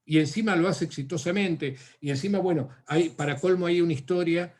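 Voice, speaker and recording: An Argentine man answers a seminar question over a video call. His voice sits a little high, and he talks in a thoughtful way, pausing on fillers and restarting phrases. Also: darkish tone. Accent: Argentine Spanish. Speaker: academic speaker.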